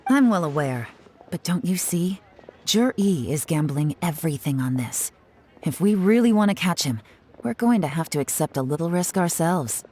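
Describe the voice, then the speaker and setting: A woman's voice: fairly low pitch, expressive, moderate pace, warm tone, slight background noise, lightly acted. A woman playing a cool, shrewd intelligence officer in a video game, composed and commanding with dry confidence. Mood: commanding, confident. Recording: music behind the voice.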